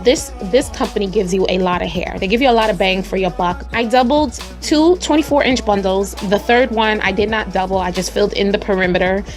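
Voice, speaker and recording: A young woman with a slightly raspy voice talks viewers through a hair tutorial in a chatty, slangy, friendly way, speaking to them directly. Her pitch sits in an ordinary middle range. Soft music plays quietly underneath. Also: fairly quick, few pauses. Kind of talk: casual tutorial narration.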